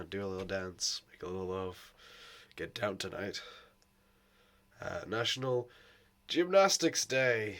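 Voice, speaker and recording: Brief: male vlogger with a sore, cracking throat, lowish voice, rambling and sweary. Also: a bit bright. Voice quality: hoarse, voice cracking. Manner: rambling, swearing, laughing often. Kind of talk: casual rambling vlog.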